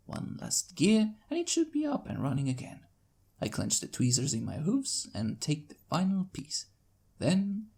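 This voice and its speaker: A woman's voice: rumbling low, creaky, darkish tone, breathy edge. A woman narrating a story in the first person, reading expressively. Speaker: fan fiction narrator.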